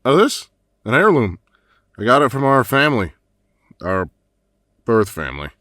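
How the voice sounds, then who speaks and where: A man's voice: medium pitch, sing-song, normal speed, sharp tone, no echo. A man playing a laid-back young brother in an audio drama, with a dry, teasing manner. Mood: dry, casual.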